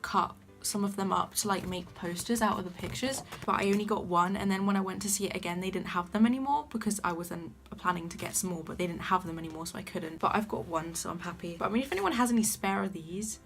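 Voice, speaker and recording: A young woman with a soft voice, gushing enthusiastically about a show she loved in a casual vlog. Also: on the low side, quick pace, hardly any pauses. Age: young adult. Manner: gushing, enthusiastic fan talk. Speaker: vlogger. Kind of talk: casual vlog chat to camera. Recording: faint background music.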